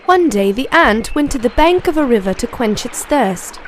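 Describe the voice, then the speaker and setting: A woman's voice: fairly high pitch, animated, moderate pace, background noise. A woman reading a scripted fable for children as a storyteller.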